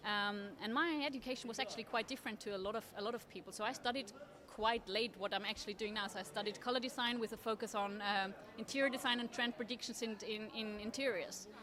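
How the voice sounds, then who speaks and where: A woman from Austria, answering interview questions in a thoughtful, measured way at a table at a design fair. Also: on the high side, a crowd in the background. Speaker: interview guest, trend forecaster. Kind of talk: conversational interview.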